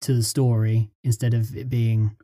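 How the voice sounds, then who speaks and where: Man, script writer guest, mellow tone, average pitch, halting casual explanations, dry sound. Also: level tone, no echo. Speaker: script writer being interviewed. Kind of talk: casual interview chat.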